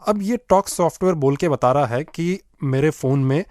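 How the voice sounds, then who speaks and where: A man's voice: on the high side, moderate pace, darkish tone, no echo, studio quality. A man co-hosting a radio program, explaining how a phone feature works in a friendly way. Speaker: radio show co-host. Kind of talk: scripted radio demonstration.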